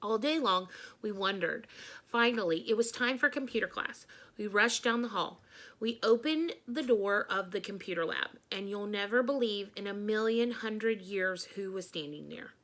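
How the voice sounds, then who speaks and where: Woman, children's read-aloud, very bright voice, expressive emphatic storytelling, teacher-like. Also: mid-range voice, moderate pace.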